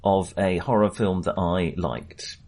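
A man's voice: low voice, fairly even intonation, takes it slowly, a little mellow. A man in a casual film discussion as a podcast host, talking in a rambling way that often qualifies itself.

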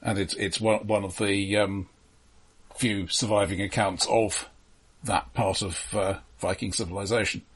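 A man's voice: lowish voice, level tone, measured pace, husky. A man co-hosting a casual film discussion, explaining details in an informed way with hesitant phrasing. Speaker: film podcast co-host.

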